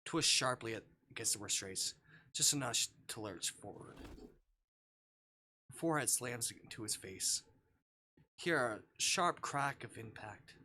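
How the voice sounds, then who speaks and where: A man's voice: medium pitch, lively intonation, measured pace, long pauses, pushed and bright, slight background noise. A man streaming a dramatic read-aloud of visual novel text, sometimes stumbling over words and rereading them.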